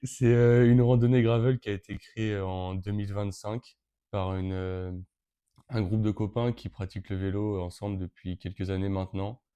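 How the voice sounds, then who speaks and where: Young man from Brittany, late teens, flat low delivery, halting with many restarts. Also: smooth, highs cut off. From Brittany, France. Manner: hesitant, frequent fillers and restarts. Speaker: interviewee, event co-organizer. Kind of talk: casual interview answers.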